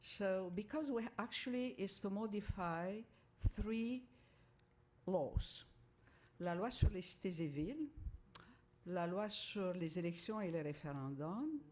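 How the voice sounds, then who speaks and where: Woman councillor, careful, word-searching delivery, mid pitch. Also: expressive, a little slow, a little mellow. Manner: careful, searching for words in a second language. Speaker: city councillor.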